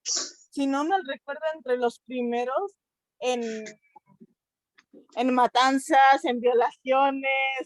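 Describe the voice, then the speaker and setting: A teenage girl from Mexico speaks with a Mexican accent at a youth colloquium. Her voice sits high, and the sound is dry and close, with no room around it. She talks earnestly, stops to hunt for words, restarts her sentences and asks herself questions. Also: steady tone, slow, pauses often, dry recording, rough recording. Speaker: essay contest participant. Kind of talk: unscripted talk at a youth colloquium.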